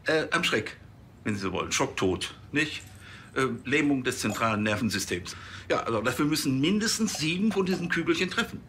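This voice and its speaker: Fussy hunter's son in a tv crime comedy, very animated, lecturing, keeps tagging on questions. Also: male voice, medium pitch, brisk, a little hiss or hum. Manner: pedantic, tagging statements with questions.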